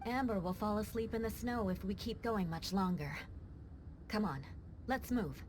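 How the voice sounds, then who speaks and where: A woman's voice: mid-range voice, moderate pace, darkish tone, slight background noise, lightly acted. A woman voicing a composed young female knight in a video game, formal and commanding. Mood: decisive. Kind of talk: video game cutscene voice acting.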